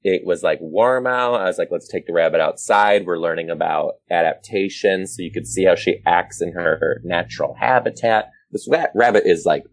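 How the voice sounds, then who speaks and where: Low voiced teacher guy telling funny stories casually, dramatic pauses, does the quotes, dry close sound. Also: no echo. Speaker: teacher, podcast guest. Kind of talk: casual comic storytelling.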